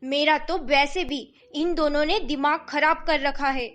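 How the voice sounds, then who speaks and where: Lady doing a bossy indian mom in a cartoon drama, high pitched, scolding and fed up. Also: steady tone, measured pace. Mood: nagging, exasperated. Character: middle-aged Indian mother, bossy, scolding and exasperated. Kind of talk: animated family melodrama acting.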